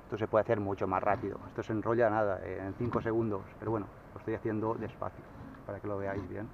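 A low-voiced man talks through his gear in an unhurried, casual way, pausing on fillers as he goes. He's out on a kayak at sea, picked up by a dull action-camera mic with a faint hiss of wind behind him. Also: creaky. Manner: relaxed, explains slowly with fillers. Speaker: kayak sailing instructor. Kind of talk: casual how-to explanation on the water. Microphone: action camera mounted on the kayak.

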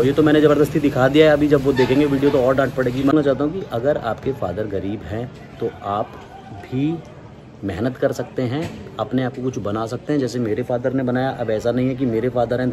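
A young man talking casually to camera as a fitness vlogger, explaining things directly to viewers. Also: mid-range voice. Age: young adult. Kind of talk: casual vlog talk to camera. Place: unfinished building, rooftop and open balcony. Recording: clear background noise, outdoor ambience, traffic.

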